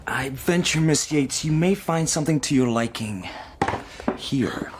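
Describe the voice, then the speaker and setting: A man playing an earnest young gentleman working as a shop clerk in a radio mystery, polite and boyish, with a husky voice. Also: fairly high pitch, animated, a little slow, background noise. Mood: deferential. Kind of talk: period radio mystery drama acting.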